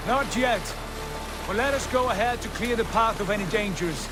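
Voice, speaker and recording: An actor playing a wary Viking raider who leads a longship crew, deliberate and commanding, in a video game cutscene. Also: male voice, high-pitched, music in the background, close to an everyday voice.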